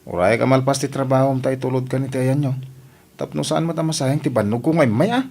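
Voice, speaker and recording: A man's voice: medium pitch, fairly even intonation, brisk, gravelly, a little mellow. A man playing a busy, patient and apologetic suitor from work in a radio drama, with a Philippine accent. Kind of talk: Ilocano comedy radio drama acting.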